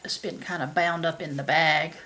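A woman's voice: low voice, fairly even intonation, normal speed, bright, forceful tone. A woman, chatting casually about her shopping haul to a camera at home. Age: 50s. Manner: chatty, folksy, says y'all. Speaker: haul vlogger. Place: home kitchen indoors.

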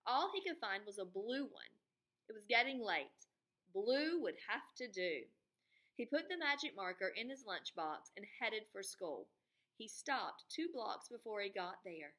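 A woman's voice: medium pitch, a little slow. A woman in her thirties or forties reading to children as a teacher, expressively explaining and posing questions. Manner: expressive, asks the listeners questions. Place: small classroom or school room, indoors.

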